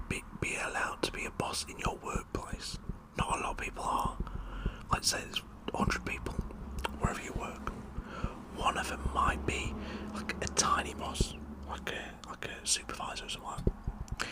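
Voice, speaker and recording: A man with a British accent whispering a rambling, tongue-in-cheek ASMR monologue in a breathy voice, often repeating words for effect. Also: a bass voice, poor audio. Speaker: ASMR performer.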